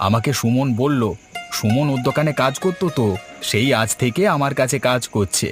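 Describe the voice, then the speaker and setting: A man's voice: mid-range voice, a little fast, close to an everyday voice. A man voicing a cheerful, hardworking male biryani shop owner in a cartoon. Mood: earnest. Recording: background music.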